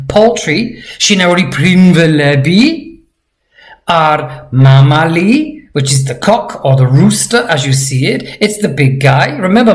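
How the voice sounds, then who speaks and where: A man teaching a language lesson, explaining vocabulary patiently and repeating words slowly for practice. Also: fairly high pitch.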